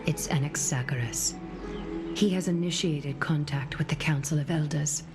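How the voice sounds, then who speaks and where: Low, solemn female leader. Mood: solemn, grave. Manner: formal, measured. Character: solemn, composed female leader weighing omens and fate. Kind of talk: scripted video game dialogue. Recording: music under the voice.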